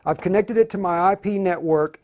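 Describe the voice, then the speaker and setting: Higher-pitched man, halting live tutorial. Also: lo-fi sound. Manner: halting, muttering to himself while working. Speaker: host of a live radio tutorial. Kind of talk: unscripted live demonstration tutorial.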